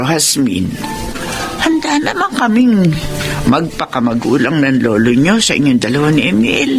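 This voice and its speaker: An actress playing a gentle, soothing elderly grandmother in a Filipino radio drama. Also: on the low side. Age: older.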